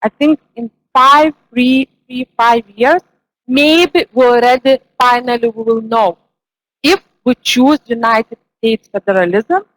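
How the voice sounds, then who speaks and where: A Lithuanian woman with a Lithuanian accent, speaking English as a second language as a discussion moderator and searching for words in loose, halting phrases. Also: fairly high pitch, poor audio. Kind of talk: discussion remarks after a lecture.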